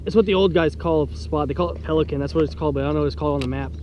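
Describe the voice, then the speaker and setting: A man's voice: fairly high pitch, racing, a little mellow. An American man vlogging outdoors from a fishing boat, chatting casually, exclaiming and joking as he talks to his camera. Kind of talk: casual excited chat while filming fishing. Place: outdoors on a small bass boat on water. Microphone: action camera worn by the speaker. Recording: dull action-camera audio, outdoor boat noise.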